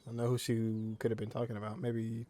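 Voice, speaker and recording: A man's voice: on the low side, completely flat delivery, fast, warm tone. A man giving casual gameplay commentary, muttering offhandedly to himself as he plays. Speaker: gamer, streamer. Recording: game music behind voice.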